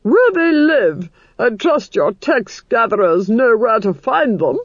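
A ruthless noblewoman from a storybook. She is haughty and imperious, speaking high and with sweeping intonation. Her orders come slowly and deliberately, in a close, dry sound. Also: big pitch swings, no room sound, lo-fi sound, full character voice. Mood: stern, commanding. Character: haughty, ruthless, commanding duchess.

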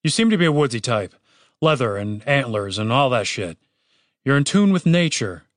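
A man's voice: medium pitch, big pitch swings, moderate pace, dry recording, clean studio sound, close to an everyday voice. Man doing a whiny, exasperated young bard. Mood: thoughtful. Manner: whiny, sweary, explaining.

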